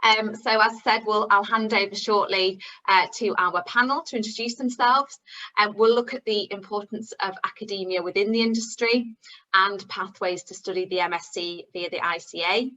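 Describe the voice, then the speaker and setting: A woman's voice: medium pitch, normal speed, bright, forceful tone, muffled recording. A woman hosting an online event, welcoming attendees in a friendly way with frequent fillers. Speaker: webinar host. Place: online webinar.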